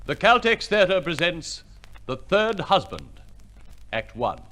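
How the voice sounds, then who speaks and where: A man's voice: high-pitched, huge pitch swings, slow, sharp tone, a little hiss or hum. A man announcing a radio play in a formal, theatrical 1950s scripted style.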